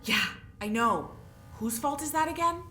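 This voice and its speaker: An actress playing a sarcastic, foul-mouthed escaped prisoner in an audio drama, defiant and biting. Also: female voice, sits high, lively intonation, normal speed, pushed and bright, reverberant, faint music behind. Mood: defiant, bitter.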